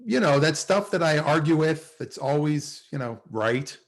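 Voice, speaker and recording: A man's voice: a little high, expressive, normal speed. A man playing a lovesick, wisecracking, anxiously hopeful sitcom character in a table read over a video call. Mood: pleading.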